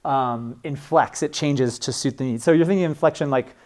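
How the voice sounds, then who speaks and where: A man's voice: medium pitch, moderate pace, a little mellow. Chatty man teaching a class. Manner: casual, chatty, with many fillers and restarts. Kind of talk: informal classroom talk.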